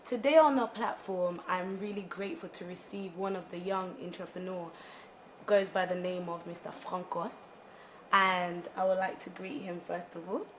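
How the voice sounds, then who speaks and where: A woman's voice: fairly low pitch, fairly even intonation, normal speed, poor audio. A woman from Congo presenting a conversational interview in a polite, encouraging way. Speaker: talk show presenter. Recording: clear background noise, room ambience.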